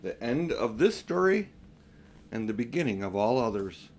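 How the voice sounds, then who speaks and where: An older man narrating a children's audiobook in a deliberate, phrase-by-phrase manner. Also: mid-range voice, very animated, a little hiss or hum. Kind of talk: scripted storybook narration.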